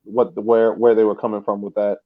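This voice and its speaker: Muffled, low-voiced man chatting. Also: little pitch movement, brisk, no room sound. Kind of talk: casual sports chat.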